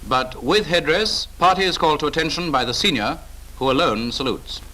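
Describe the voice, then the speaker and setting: Bright-voiced British man, 1940s training film narrator. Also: a little high, lively intonation, fairly quick, rough recording. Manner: clipped, authoritative, instructive. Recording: old 1940s film soundtrack.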